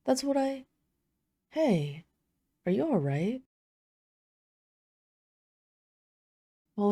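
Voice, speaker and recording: A woman playing a poised, flirtatious vampire, speaking softly and teasingly in an intimate audio roleplay. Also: mid-range voice, dry recording, clean studio sound, lightly acted. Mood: teasing concern. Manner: soft-spoken, teasing, smooth formal phrasing. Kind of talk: intimate scripted audio roleplay addressed to the listener.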